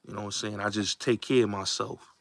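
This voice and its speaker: A man's voice: lowish voice, steady tone, fast, noisy background. A man in his late twenties hosting a casual livestream, speaking emphatically and repeating himself as he addresses viewers directly. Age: late 20s. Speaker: host. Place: New York.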